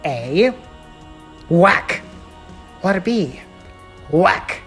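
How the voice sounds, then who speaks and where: A woman's voice: on the low side, big pitch swings, very slow, heavily acted. A woman voicing a gleefully cruel male clown villain in a fan audio drama, taunting theatrically with wheezy laughter. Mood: sadistic taunting. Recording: music laid under the voice.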